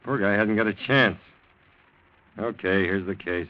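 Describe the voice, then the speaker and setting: A man's voice: lowish voice, unhurried, natural voice. A man acting in an old radio drama as a wily, laconic police lieutenant who talks in a probing, hesitant way. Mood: hesitant, yielding. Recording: dull, lo-fi old radio recording.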